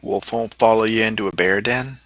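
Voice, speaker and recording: A man playing in a tabletop role-playing game, speaking in character in terse, halting phrases. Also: fairly low pitch, fairly bright tone, no room sound.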